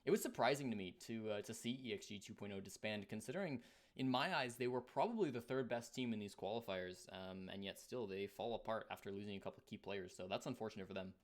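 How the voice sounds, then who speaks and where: A man's voice: lowish voice, fast talker. A man with a Canadian accent giving live esports commentary in a conversational, analytical way as a caster.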